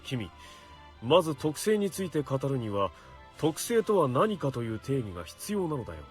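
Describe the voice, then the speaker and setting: Guy playing earnest young anime knight, stiff formal talk, swingy pitch, fast, music behind. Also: very animated, close to an everyday voice. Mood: pompous lecturing. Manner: formal, archaic phrasing, muttering to himself. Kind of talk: Japanese visual novel voice acting.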